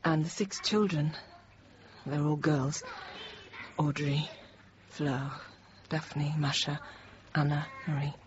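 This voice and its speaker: A woman playing a weary schoolteacher in her thirties held hostage, narrating a radio drama in measured, intimate short phrases. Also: sits low, steady tone, highs cut off, background noise. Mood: weary, subdued. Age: 30s. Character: thirty-year-old female teacher held hostage, weary, reflective.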